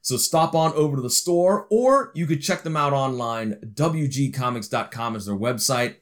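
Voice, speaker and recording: A man's voice: mid-range voice, animated, normal speed. A man hosting a podcast, talking in an upbeat, chatty, joking way. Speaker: podcast host. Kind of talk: casual podcast chat. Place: busy indoor expo hall.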